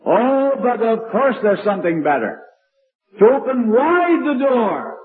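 A man's voice: extremely high pitch, very animated, measured pace. An older man preaching with a strong, carrying voice, telling stories in an old-time sermon. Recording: tinny lo-fi archival recording.

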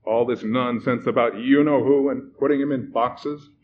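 A man's voice: medium pitch, fairly even intonation, moderate pace, natural voice. A man voicing a stern middle-aged man in a storybook, indignant, testy and scolding. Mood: testy, scornful. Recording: muffled recording with background noise.

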